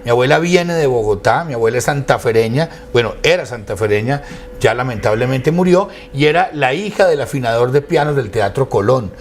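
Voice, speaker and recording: A Colombian man with a medium-pitched voice speaks as an interview guest. He tells his story conversationally yet formally, with eloquent, elaborate phrasing, and some faint ambient noise sits behind him. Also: lively intonation, a little fast. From Quindío, Colombia. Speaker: interview guest, academic and radio station director.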